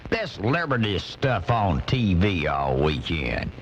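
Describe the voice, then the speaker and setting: A man's voice: low voice, very animated, slow, slight background noise, exaggerated voice. A man playing an angry, blustering redneck commentator in a comic radio monologue, ranting in folksy, ungrammatical indignation. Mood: exasperated, ranting. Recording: muffled off-air cassette radio recording, music.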